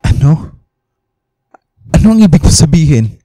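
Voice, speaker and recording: A man's voice: fairly high pitch, big pitch swings, normal speed, warm tone, no room sound, studio quality. A Filipino actor playing a troubled, suspicious young man cursed with a third eye, intense and accusing, in a radio horror drama. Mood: accusing, upset.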